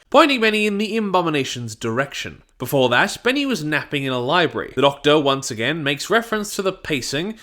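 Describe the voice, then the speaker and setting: A man delivering a scripted, opinionated review as narration, in an expressive manner. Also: on the high side. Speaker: YouTube audio drama reviewer.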